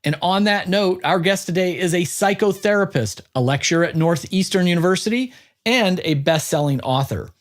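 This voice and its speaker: A man's voice: high voice, moderate pace. A man hosting an interview podcast, presenting in an upbeat, emphatic and punchy way.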